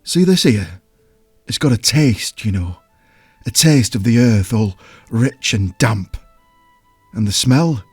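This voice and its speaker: An actor playing a huge, simple, childlike potion brewer in an audio drama, with rustic folksy speech and a halting, earnest, wounded manner. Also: male voice, medium pitch, lively intonation, darkish tone, breathy edge, no echo, faint music behind. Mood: quietly proud. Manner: halting, plain-spoken, earnest. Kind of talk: audio drama character acting.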